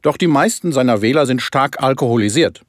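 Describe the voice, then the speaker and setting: A man's voice: medium pitch, animated, brisk, dry recording, clean studio sound, close to an everyday voice. A man playing a sober, formal television news anchor, reading a scripted bulletin in a satirical radio play.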